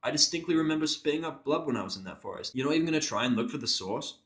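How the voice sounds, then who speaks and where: A man's voice: on the high side, animated, racing, fairly bright tone, pitched above the performer's own voice. Irritable, sarcastic male hospital patient, acted by a man. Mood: exasperated. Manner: blunt, cynical, swearing. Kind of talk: first-person audio drama narration and dialogue.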